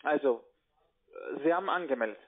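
A man making a cold sales call by phone, with halting, non-native German phrasing. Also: fairly high pitch, lively intonation. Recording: tinny narrowband phone line.

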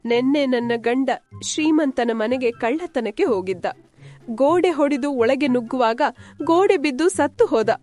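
A woman's voice: high voice, darkish tone. A woman playing an aggrieved, plaintive widow pleading for justice in a cartoon folk tale.

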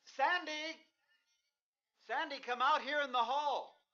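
An actor playing an exasperated, sarcastic husband in a 1950s radio drama, bickering defensively in clipped lines. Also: male voice, very high-pitched, slow, bright, forceful tone, exaggerated voice. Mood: strained. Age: adult. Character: exasperated, sarcastic husband and father trapped in a basement. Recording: dull, hissy 1950s radio recording.

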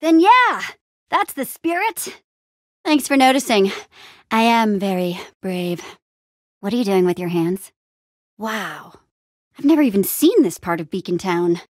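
A woman's voice: medium pitch, very animated, unhurried, long pauses, no room sound, studio quality. A woman playing an upbeat, plucky young female adventurer in a video game, with an eager, wry and casual way of talking. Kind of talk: animated adventure game dialogue.

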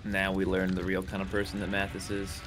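A man's voice: low-pitched, completely flat delivery, fairly quick, noisy background. A man chatting casually as he watches his friends play a game. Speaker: player spectating with friends. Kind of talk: casual gaming banter.

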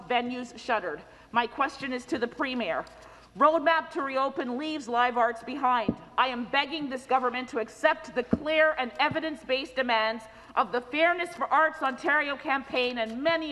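A woman's voice: high-pitched, fairly bright tone, big-room sound, rough recording. A woman asking an urgent, pleading question as an opposition member in a large legislative chamber, on a desk microphone. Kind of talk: parliamentary question.

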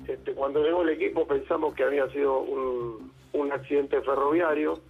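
A man with an Argentine accent, an emergency service director speaking over the phone in a halting way, with many fillers and restarts. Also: medium pitch, fairly even intonation, breathy edge, faint music behind. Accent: Argentine Spanish. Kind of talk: phone interview. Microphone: telephone. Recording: tinny phone line.

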